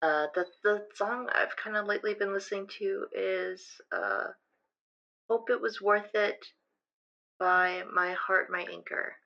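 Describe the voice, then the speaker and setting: A woman playing a nervous radio show guest, halting and stammering in an audio drama. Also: mid-range voice, steady tone, sharp tone. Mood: nervous, uneasy. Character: nervous, hesitant female caller to radio show. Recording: muffled, noisy lo-fi line.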